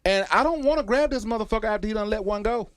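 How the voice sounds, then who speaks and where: Middle aged guy hosting a sweary talk show, quick talker, kinda high voice, keeps arguing his point. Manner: animated, argumentative, repeats himself for emphasis. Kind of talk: casual comedic banter, frequent swearing.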